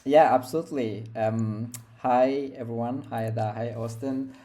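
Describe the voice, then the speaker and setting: A man's voice: medium pitch, fairly even intonation, moderate pace, dark tone. A young man from Turkey, a guest on a podcast interview, speaking from home in a hesitant way with many fillers and restarts. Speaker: guest developer.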